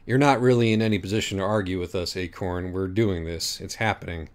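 A man's voice: deep voice, steady tone, brisk, voice pushed down, close to an everyday voice. Man as an aloof, pedantic judge of sins. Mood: firm. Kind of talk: audiobook character voice.

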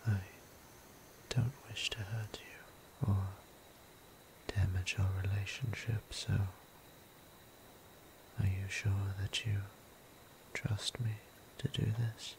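A man playing a gentle, courteous, centuries-old vampire priest in an intimate ASMR roleplay, speaking formally and tenderly in a hushed half-whisper. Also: fairly low pitch, flat delivery, slow pace, long pauses, a little hiss or hum. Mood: concerned, hesitant.

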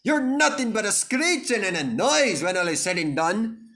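A man voicing a scolding, forceful steam engine for a children's story. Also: sits high, sing-song, normal speed, pitched above the performer's own voice, full character voice. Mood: angry scolding. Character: fiery scolding male Scottish twin steam engine.

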